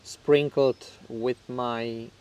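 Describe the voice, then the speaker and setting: A man with a Czech accent, a native Czech speaker, hosting his own channel and talking frankly and reflectively to camera, with small grammar slips and a few soft laughs. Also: mid-range voice, fairly even intonation, extremely slow, smooth. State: somewhat nervous on camera. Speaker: channel host. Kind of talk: candid talk to camera about recovery.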